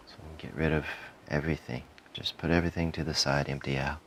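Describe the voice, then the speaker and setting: Very deep-voiced man guiding meditation. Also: a little hiss or hum. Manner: calm, soothing, with frequent pauses between short phrases. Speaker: meditation guide. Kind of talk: guided meditation, softly spoken instruction.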